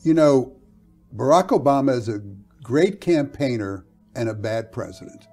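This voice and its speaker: Older guy talking politics, slow and matter-of-fact, mellow voice. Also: medium pitch, lively intonation, soft background music. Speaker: interview guest. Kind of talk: political commentary chat. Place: study with bookshelves.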